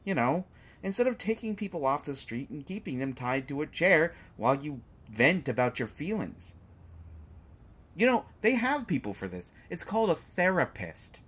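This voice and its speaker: A man's voice: a little high, very animated, moderate pace, fairly bright tone, slightly rough, exaggerated voice. A man playing a sarcastic, unafraid hostage who teases a villain in an audio drama, with exaggerated mock reactions. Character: sarcastic, unafraid male hostage taunting a lovesick supervillain. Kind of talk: scripted roleplay audio drama monologue.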